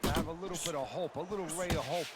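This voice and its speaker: A male actor as a blunt colour commentator for a boxing game. His voice sits high as he analyses the fight like a seasoned hand, amid heavy noise. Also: rapid-fire, natural voice. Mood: analytical.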